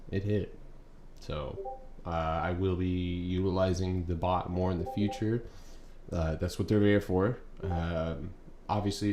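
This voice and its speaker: A man's voice: low voice, dark tone. A young man hosting a live stock trading stream, talking casually and rambling with many ums and uhs. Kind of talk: live market commentary. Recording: echoey room, faint background noise.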